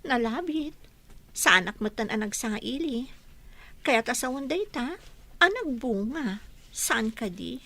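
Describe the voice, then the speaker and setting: A woman's voice: a little high, animated, measured pace, pauses often, sharp tone, heavily acted. A woman with an Ilocano accent playing a coy young sweetheart in a radio comedy-drama. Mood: worried. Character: young sweetheart, coy and worried about her parents.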